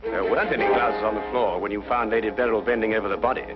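An actor playing a brilliant, courteous detective, speaking precisely and deliberately in 1950s detective drama style. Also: male voice, fairly low pitch, steady tone, lightly acted. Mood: accusing. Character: brilliant, composed male detective, methodical and courteous. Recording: muffled 1950s broadcast, music underneath.

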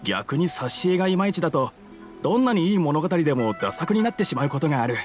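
Anime game townsman: opinionated fan, earnest explainer, high male voice. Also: very animated, fast, soft background music.

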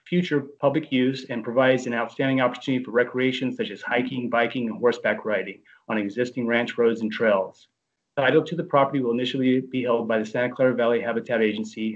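A man on staff presenting a project briefing at a board meeting, reading formally from a script over a video call. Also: mid-range voice. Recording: dull lo-fi video call audio.

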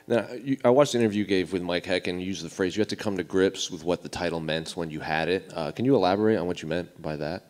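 Male reporter, deep voice, brisk delivery, conversational questioning. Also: darkish tone.

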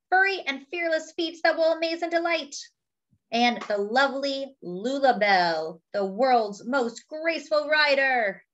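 Unhurried, higher-voiced woman reading to children. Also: dull audio. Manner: expressive, exclaiming, with pauses for emphasis. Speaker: children's storybook reader. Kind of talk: read-aloud with commentary on pictures for young children.

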